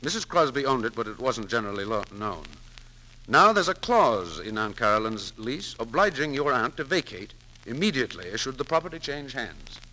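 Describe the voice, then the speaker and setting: A man playing a gruff, blustering but principled businessman in 1940s radio drama, speaking bluntly and emphatically. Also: medium pitch, big pitch swings, normal speed, pushed and bright, rough recording. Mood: kindly, businesslike. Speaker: actor. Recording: 1940s radio broadcast recording.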